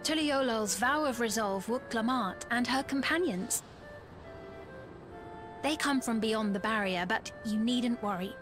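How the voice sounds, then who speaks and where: An actress playing a gracious, composed queen in a video game, speaking in a measured, formal manner. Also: on the high side, close to an everyday voice. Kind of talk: scripted video game cutscene acting. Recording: orchestral game music behind.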